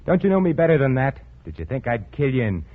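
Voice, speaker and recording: An actor playing a suave, sardonic murderer posing as a genial friend, theatrical and mocking, in 1940s radio suspense style. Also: male voice, mid-range voice, very animated, fast talker, phone-quality sound. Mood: cold, mocking. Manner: theatrical, mocking, falsely hearty. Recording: thin, noisy vintage radio recording.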